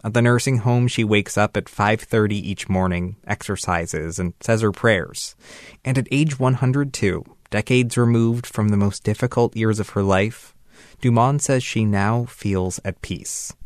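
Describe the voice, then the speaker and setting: A man reading a scripted radio news report in a measured, even delivery. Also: fairly low pitch, no echo, studio quality.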